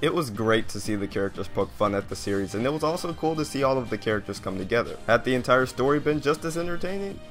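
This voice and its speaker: A man's voice: medium pitch, expressive, quick pace, hardly any pauses, over music. A man reading a scripted countdown narration as the host of a video.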